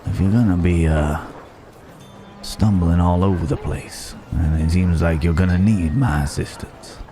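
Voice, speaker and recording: A man playing a roguish, wry but protective Wild West cowboy in an ASMR roleplay, with a halting, teasing drawl. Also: deep voice, dark tone, slight background noise. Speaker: audio roleplay voice actor. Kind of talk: ASMR roleplay acting, addressed to the listener.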